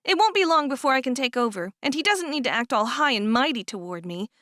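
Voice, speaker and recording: A woman's voice: sits high, expressive, fast, sharp tone, no room sound, studio quality, voice pushed up. A woman reading aloud and voicing an upset, stubborn teenage farm girl who talks in a sulky, indignant way.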